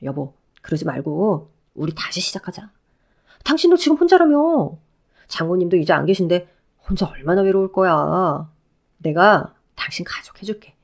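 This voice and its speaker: A woman's voice: on the low side, animated, moderate pace, vocal fry. A wheedling ex-husband who keeps pleading and feeling sorry for himself, read by a woman. His voice swings up and down as he begs. He sounds like a figure in a told drama story. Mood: begging. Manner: wheedling, whiny begging. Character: pleading, self-pitying middle-aged ex-husband.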